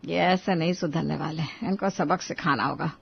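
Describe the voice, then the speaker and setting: A woman voicing a shrewd, determined mother-in-law who speaks firmly in an animated moral story. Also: deep voice, moderate pace, vocal fry, slightly rough, dull audio, voice pushed down, heavily acted. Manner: firm, instructive.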